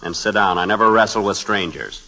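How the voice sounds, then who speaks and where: A man's voice: lowish voice, brisk, bright, forceful tone. An American actor playing a brash, wisecracking young newspaperman with a snappy, sarcastic delivery in 1940s radio style. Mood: sardonic. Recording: noisy, clicky 1940s radio recording.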